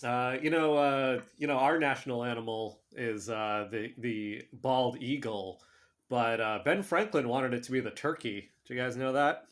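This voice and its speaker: A man's voice: medium pitch, natural voice. A man playing an earnest, rambling attendee at an online group session, stammering and repeating himself in a naturalistic audio drama. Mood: earnest, awkward. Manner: halting, stammering, repetitive.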